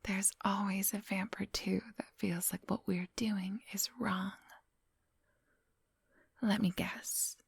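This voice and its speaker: A woman's voice: mid-range voice, level tone, takes it slowly, creaky, slightly rough, no echo, full character voice. A woman playing a coolly hypnotic, controlling female vampire in an ASMR roleplay, whispering breathily in a condescending, coaxing and commanding manner, close to the microphone. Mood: scornful, probing. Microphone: close, dry microphone.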